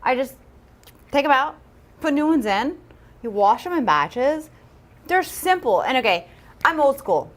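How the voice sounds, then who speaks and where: A woman's voice: high voice, very animated, unhurried, pauses often. A woman co-hosting a casual livestream, chatty and upbeat, with plenty of ums and a self-deprecating streak. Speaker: livestream co-host.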